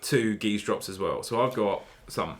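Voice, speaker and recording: A man's voice: on the low side, unhurried. A man co-hosting a podcast at a home kitchen table, laid-back and sweary, with lots of hesitant ums. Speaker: podcast co-host. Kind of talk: casual chat.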